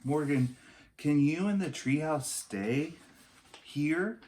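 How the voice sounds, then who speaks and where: A man's voice: fairly high pitch, takes it slowly, darkish tone, voice pushed up. A man voicing an eager, imaginative young girl in a storybook read-aloud. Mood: hopeful.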